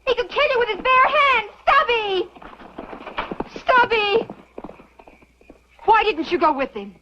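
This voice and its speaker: A woman's voice: very high-pitched, animated, slow pace, full character voice. Casting: anxious, needling frontier girl, swooping delivery; hissy vintage radio sound. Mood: alarmed. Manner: melodramatic, pleading. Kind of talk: old-time radio drama acting. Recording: noisy, muffled old radio recording.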